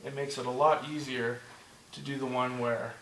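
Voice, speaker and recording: A man teaching a movement drill step by step, encouraging and repeating himself, slightly hoarse and short of breath. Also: medium pitch, little pitch movement, normal speed, a bit bright, echoey room, distant mic, a little hiss or hum, rough recording. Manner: encouraging, repeats "just like that" and "good". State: losing his voice, a bit out of breath. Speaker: instructor. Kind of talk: step-by-step demonstration lesson.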